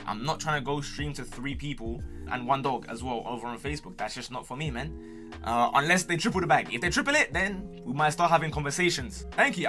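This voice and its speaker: Young male streamer, London accent, quick slangy chat with cocky, teasing confidence, over music. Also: mid-range voice. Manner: slangy, cocky, playful banter. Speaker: streamer and gamer answering viewer questions. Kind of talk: casual chat to camera.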